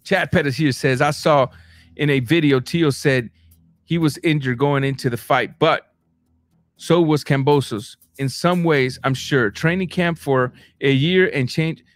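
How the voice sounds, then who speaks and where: A man from Cuba, hosting a casual boxing livestream and reading viewer comments, animated, stumbling over his words and jumping up in pitch. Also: a little slow, no room sound. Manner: animated, repeats words and restarts, rising to high bursts.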